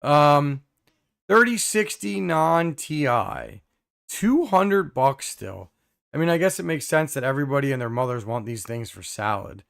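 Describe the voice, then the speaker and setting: A hoarse young man hosting a casual live stream, reading viewer comments with many fillers and throat clearing. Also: fairly high pitch, animated, unhurried, a bit bright.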